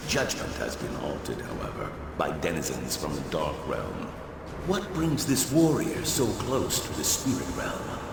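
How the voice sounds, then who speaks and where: An actor playing a solemn otherworldly keeper of the dead in a video game, speaking formally and cryptically. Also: male voice, sits low, gravelly, exaggerated voice. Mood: solemn, curious. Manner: formal, cryptic, speaks of self in third person. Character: solemn otherworldly male keeper spirit of the afterlife. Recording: cavernous echo, distant, loud noisy ambience.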